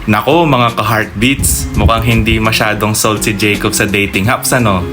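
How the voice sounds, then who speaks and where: A man's voice: lowish voice, steady tone, moderate pace, over music. A man narrating a scripted radio drama as its host, speaking to listeners directly in a teasing way.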